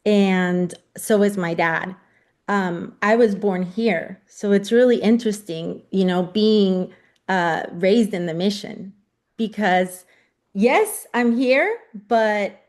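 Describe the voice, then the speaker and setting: A woman from the Bay Area whose first language was Spanish, speaking eagerly as a guest with many "you know"s. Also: medium pitch. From San Francisco Bay Area. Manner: eager, warm-spoken, frequent "you know". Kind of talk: casual talk on a radio show.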